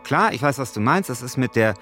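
A man's voice: medium pitch, lively intonation, fairly quick, fairly bright tone, soft background music. A man co-hosting a podcast, talking in a colloquial, argumentative way. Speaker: podcast co-host and radio play author. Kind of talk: casual debate and informal narration.

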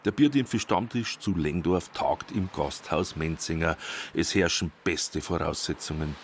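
A man narrating a documentary feature in a wry, measured storytelling manner. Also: deep voice, slight background noise. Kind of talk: scripted narration.

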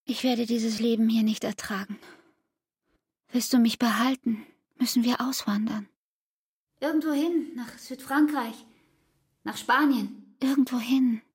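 Radio drama role: tired, reproachful young female lover, higher-pitched, husky and breathy, pleading with intensity. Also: fairly even intonation, unhurried, pauses often. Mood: weary, pleading. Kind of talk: literary radio drama acting.

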